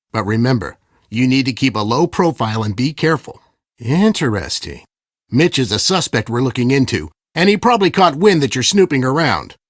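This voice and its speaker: An actor playing a smug, impatient schemer who poses as a police detective in an adventure game, with a condescending, theatrical delivery. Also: male voice, medium pitch, no room sound, studio quality. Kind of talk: scripted game character dialogue.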